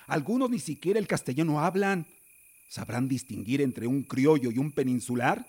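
A man's voice: medium pitch, expressive, normal speed, darkish tone, husky. An actor playing a stern, fearful, middle-aged father with a Mexican accent in a radio drama, scolding and authoritative. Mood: indignant. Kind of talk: Spanish-language radio drama acting.

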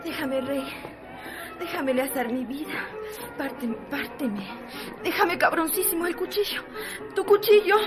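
A woman's voice: high-pitched, measured pace, long pauses, over music. An actress playing a feverish, drugged young woman who pleads frantically and breathlessly in a radio drama. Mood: frenzied.

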